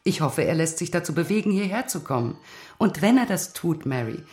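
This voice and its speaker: Opinionated lady of rank, older, dignified, low voice, radio play, faint music. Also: very animated, a little fast, darkish tone. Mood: amused, composed. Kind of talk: German radio play acting.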